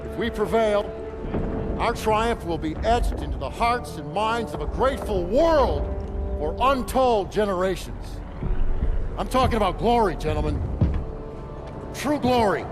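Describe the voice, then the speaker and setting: An actor playing a stern army colonel who gives a grand, solemn speech to his troops, with loud music underneath. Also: high voice, expressive, unhurried, pauses often.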